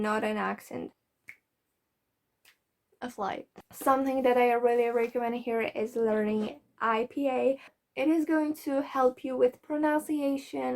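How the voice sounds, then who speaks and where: Polish-accented woman giving frank advice. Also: a little high. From Poland. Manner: earnest, frank, occasionally swears when fired up. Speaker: vlogger giving language-learning advice. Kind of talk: direct-to-camera advice talk.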